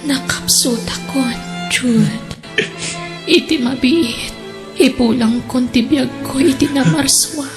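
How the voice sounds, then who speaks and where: A woman's voice: high voice, slow, music in the background. A woman with an Ilocano Filipino accent playing a frail, selfless dying sweetheart in an audio drama, tender and pleading. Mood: weak, resigned.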